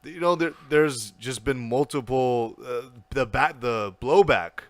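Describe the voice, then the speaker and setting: A young man talking as a podcast guest, animated and blunt, with lots of restarts and casual swearing. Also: mid-range voice, unhurried, no echo.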